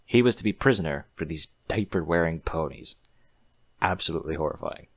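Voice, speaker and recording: A man's voice: a bass voice, animated, a little fast. A man narrating a scripted story expressively on a close mic.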